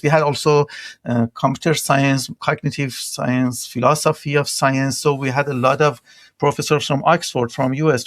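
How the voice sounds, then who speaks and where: A man's voice: medium pitch, dry recording, studio quality. A middle-aged man from Iran with an Iranian accent, telling stories conversationally as an interview guest. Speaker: podcast guest, tech entrepreneur.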